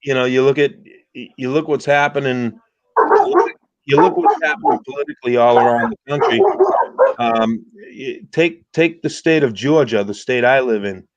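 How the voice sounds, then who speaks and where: A man's voice: mid-range voice, normal speed, muffled recording. A man in his fifties from the United States, calling into a livestream for casual political chat, blunt and repeating himself as he stammers into his points. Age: 50s. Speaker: caller on a livestream.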